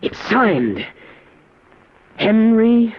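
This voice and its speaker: A man acting in a 1950s radio drama as an earnest, awed young man, with halting, stammering, breathless delivery. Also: sits high, sing-song, slightly rough, heavily acted. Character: earnest, awed young man, time-travel experiment subject. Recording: dull vintage radio broadcast recording.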